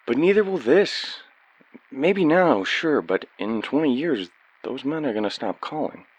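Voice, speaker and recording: A man's voice: medium pitch, lively intonation, unhurried, slightly rough, no room sound, low-quality recording. A man playing an earnest, lovestruck young painter in a radio play, plain-spoken and sincere. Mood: earnest, pleading. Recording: faint background hiss.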